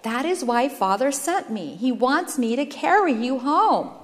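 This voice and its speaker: Woman as a high-voiced, patient eldest brother. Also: expressive, unhurried, big-room sound. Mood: earnest, compassionate. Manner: gentle, earnest, pleading. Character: patient, loving eldest brother, earnest male rescuer.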